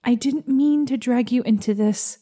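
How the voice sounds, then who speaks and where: Gentle, earnest schoolgirl, played by a woman. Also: a little high, normal speed, husky. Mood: apologetic. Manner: gentle, pleading. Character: earnest, protective schoolgirl consoling her wax dragon.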